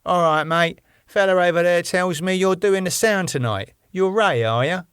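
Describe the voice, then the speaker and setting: A man's voice: sits high, normal speed, fairly bright tone, smooth, no echo, studio quality, pitched above the performer's own voice. A man reading as a cheeky laddish bloke. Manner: casual blokey banter.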